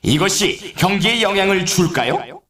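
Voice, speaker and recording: Echoey high-pitched excitable race announcer. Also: male voice, normal speed, crowd noise. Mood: excited, suspenseful. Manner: dramatic, rhetorical, showy. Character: excitable male snowboard race announcer, dramatic and eager. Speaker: sports announcer. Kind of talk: scripted sports commentary. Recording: roomy echo, distant from mic.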